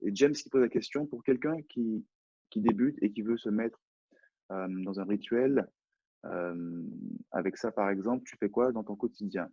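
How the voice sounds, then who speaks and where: A man's voice: lowish voice, normal speed, husky, no echo, dull audio. A French man, a personal development coach, talking in a live online chat, hesitant and often repeating words. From France.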